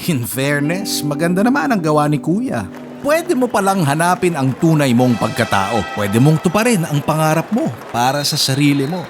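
Over prominent music comes a pompous alien cat general from an animated series. The voice sits fairly high and moves in big melodic swoops. It has a Filipino accent and a theatrical, scornful air. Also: male voice, moderate pace. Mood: reflective. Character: proud, scornful alien cat general narrating his Earth observations. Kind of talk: animated cartoon narration.

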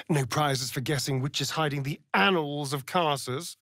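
An actor playing a sardonic, flirtatious vampire rogue in a fantasy video game, with an arch, theatrical, drawling delivery and sly asides. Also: male voice, a little high, a little slow, fairly bright tone, no echo, clean studio sound. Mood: dry, watchful. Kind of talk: video game character voice acting.

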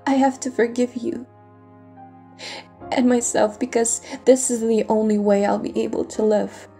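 A woman playing a weary, tender pirate captain in an intimate audio roleplay, speaking in a hushed, emotional and breathy way. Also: fairly high pitch. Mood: solemn, forgiving. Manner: hushed, slow, emotional delivery. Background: faint music.

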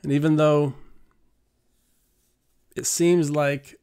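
A middle-aged man hosting a prayer livestream from his living room, speaking softly and earnestly into a studio mic, halting with many fillers. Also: fairly high pitch, little pitch movement, smooth. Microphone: large studio mic on arm.

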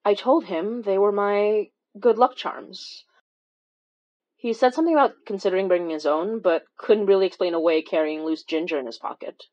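A woman's voice: medium pitch, moderate pace, darkish tone, lo-fi sound, natural voice. An actress playing a wry, weary young woman who recounts eerie events in a conversational, hesitant way, as in a horror fiction podcast. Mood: wry storytelling. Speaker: audio drama lead narrator. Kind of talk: confessional first-person horror podcast narration.

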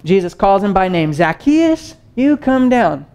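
Young man, preacher, casual teaching sermon, slightly high voice, animated stage delivery. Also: measured pace, clean tone. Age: young adult. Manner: animated, conversational, gestures widely while pacing. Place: stage with wood-panel backdrop and screen.

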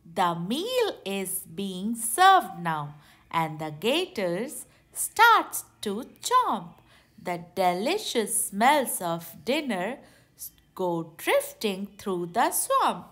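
A woman narrating a children's picture book aloud in a deliberate, expressive way. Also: medium pitch. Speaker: children's storybook reader.

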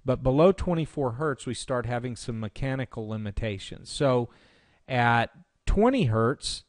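A man presenting a software tutorial, explaining step by step in instructional screencast narration. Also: medium pitch, dry recording, studio quality.

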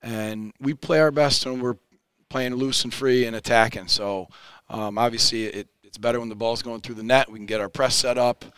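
A man in his forties, a high school basketball head coach, talking in a post-game interview in an upbeat, plain-spoken way. He often repeats words as he restarts a thought. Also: fairly even intonation, normal speed, fairly bright tone, smooth, no room sound. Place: indoor studio set in front of a backdrop. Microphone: headset mic.

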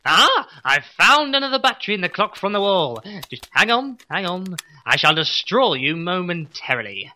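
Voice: mid-range voice, big pitch swings, normal speed, hardly any pauses, bright, forceful tone, slight background noise. An actor playing a weary, self-pitying evil-genius doctor in a comedy audio drama, grumbling with resigned melodrama. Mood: scheming.

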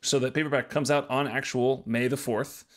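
Casual male podcast co-host, mid-pitched voice. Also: steady tone, normal speed, a bit bright.

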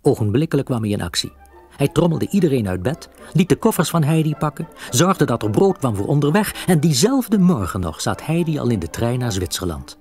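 A man narrating a children's story as a storyteller, expressive and with dramatic pauses. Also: mid-range voice. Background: soft music.

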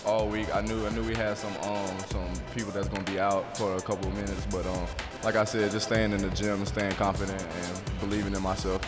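A college basketball player, a young man in his early twenties, answers casually into a handheld mic. His voice is somewhat low and very even, with arena echo around it and music clearly audible. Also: a little fast. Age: early 20s. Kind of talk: casual post-game interview. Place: courtside in a large basketball arena. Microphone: handheld broadcast microphone.

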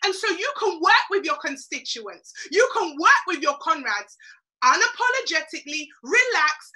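High, bright-voiced Jamaican woman mentor. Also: poor audio. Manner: emphatic, preachy, repeats lines for effect. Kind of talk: motivational webinar talk. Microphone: webcam on a video call.

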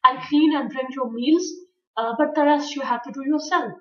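A woman's voice: sits high, moderate pace, a bit bright. A woman reading a storybook aloud as a friendly, kindly young maid who is chatty and reassuring. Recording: echoey room, distant muffled mic.

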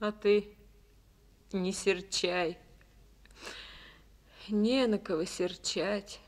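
An actress playing a proud, sharp-tongued village woman in a Soviet radio play, speaking in a folksy, teasing manner. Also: lowish voice. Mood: wry. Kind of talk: 1950s radio play acting.

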